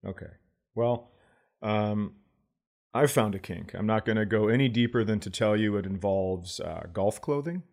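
A man's voice: on the low side, moderate pace, vocal fry, a little mellow. A man co-hosting a podcast, telling stories casually with salty language and a comedic bent. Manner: profane, conversational, acts out quotes. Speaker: podcast co-host. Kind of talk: casual chat, comedic storytelling.

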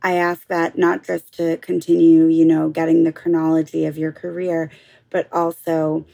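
A woman interviewer with a gravelly, low, mellow voice asks long, winding questions, padding them with fillers as she goes. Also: monotone, normal speed.

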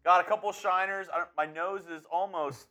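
A man's voice: high voice, fairly even intonation, a little fast, sharp tone. A man co-hosting a live sports chat, joking in an easygoing way. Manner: jokey, easygoing. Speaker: co-host. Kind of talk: casual chat.

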